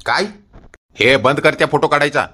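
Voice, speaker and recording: A man's voice: on the high side, moderate pace, husky. A man voicing a pompous, easily irritated village headman in a comic cartoon, with a blustering, condescending way of talking. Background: loud background music.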